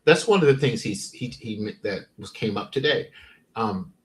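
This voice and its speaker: Animated man with a lively, swooping voice. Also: lowish voice, moderate pace. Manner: animated storyteller who acts out past conversations. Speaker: podcast co-host. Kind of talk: casual chat on a livestream.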